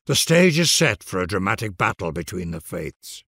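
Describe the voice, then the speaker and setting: A man narrating a strategy game scenario in a grave, measured storyteller delivery. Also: low-pitched, big pitch swings, vocal fry, a bit bright, breathy edge, no room sound, clean studio sound, exaggerated voice. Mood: solemn. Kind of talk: scripted dramatic narration.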